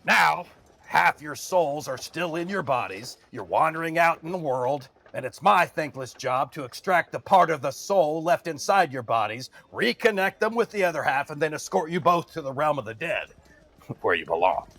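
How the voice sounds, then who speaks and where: An actor playing a gruff, whiskey-loving escort of souls in an audio drama, sarcastic and put-upon, with a husky, gravelly voice. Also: male voice, on the high side, animated, normal speed, a bit bright, exaggerated voice. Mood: exasperated. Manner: sardonic, exasperated, threatening. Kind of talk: dramatic audio fiction acting.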